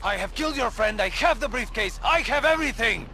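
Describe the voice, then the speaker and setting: A man's voice: extremely high pitch, lively intonation, fairly quick, creaky, sharp tone, exaggerated voice. A man playing a menacing, boastful rebel villain in a video game, taunting and defiant. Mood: gloating, defiant. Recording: very noisy, gunfire-laden battlefield.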